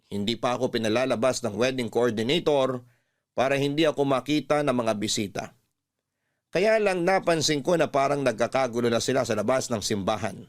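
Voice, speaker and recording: A man with a Filipino accent reading a first-person story as a radio storyteller. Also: mid-range voice.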